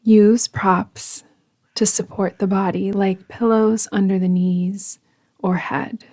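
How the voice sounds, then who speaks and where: A woman guiding a yoga nidra meditation in a soothing, gentle, deliberate manner, often trailing off into a whisper. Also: mid-range voice, vocal fry. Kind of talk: guided meditation narration.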